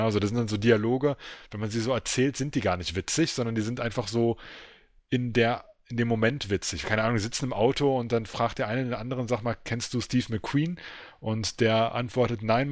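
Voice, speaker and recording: A man's voice: mid-range voice, dry recording. Casual man chatting, close dry sound. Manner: colloquial and slangy. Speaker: podcast co-host. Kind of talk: casual podcast chat, recommending a series.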